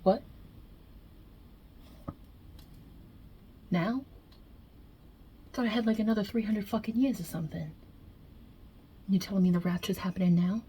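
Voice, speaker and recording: A woman's voice: mid-range voice, steady tone, a little hiss or hum, close to an everyday voice. A woman voicing a non-binary God as a brash, irreverent mob boss with a put-on Italian-American New York-New Jersey accent, wry and offhand, in an ASMR roleplay. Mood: wry, nonchalant. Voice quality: husky, breathy, hushed. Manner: wry, offhand, blunt, hesitant pauses, swearing.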